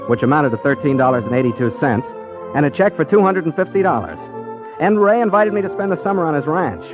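An actor playing an affable, easygoing private detective in 1940s radio style, chatty and a little hesitant around women. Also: male voice, fairly high pitch. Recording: tinny 1940s radio recording, music.

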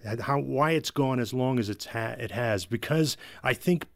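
A man's voice: medium pitch, moderate pace, creaky, dry recording, clean studio sound. A man talking casually as a guest in an interview. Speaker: guest, audio drama writer.

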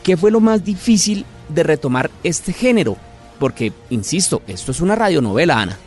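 A man's voice: on the high side, lively intonation, normal speed, a little mellow. Emphatic male podcast host over music. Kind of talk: radio-style presenting and interviewing.

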